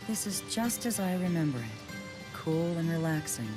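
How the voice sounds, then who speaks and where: An age-old ice spirit from a game, proud and detached. Her low female voice is cool and formal, with curt phrasing. Music runs behind the dialogue. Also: very animated, normal speed. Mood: nostalgic. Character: aloof, proud ancient ice spirit woman, nostalgic. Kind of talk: video game cutscene voice acting. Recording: music under the voice.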